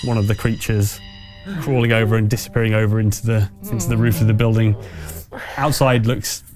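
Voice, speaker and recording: A man acting as game master, narrating a tabletop roleplaying game in a casual, wry and slightly halting way. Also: lowish voice, level tone. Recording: music playing behind the voice. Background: background music.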